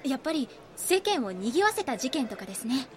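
A woman's voice: high-pitched, very animated, brisk, noisy background. A woman playing an ambitious, insistent young rookie magazine reporter in a Japanese voice drama. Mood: eager, pleading. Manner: earnest, insistent.

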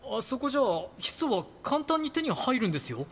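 A man's voice: very high voice, big pitch swings, a little fast, fairly bright tone, slight background noise, heavily acted. A man playing an earnest junior reporter in an audio drama, deferential but full of doubt and questions. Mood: troubled, objecting.

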